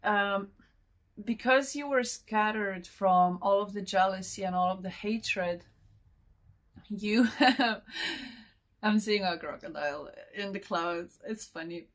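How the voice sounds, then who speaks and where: A young woman who is not a native English speaker, giving a casual spiritual oracle reading in a meandering way and laughing often between thoughts. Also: mid-range voice. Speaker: tarot and oracle card reader.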